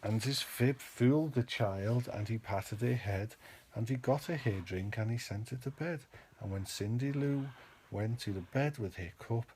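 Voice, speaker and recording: A man's voice: mid-range voice, a little slow, darkish tone, a little hiss or hum. A man reading a children's storybook aloud as a podcast host, in a narrating style.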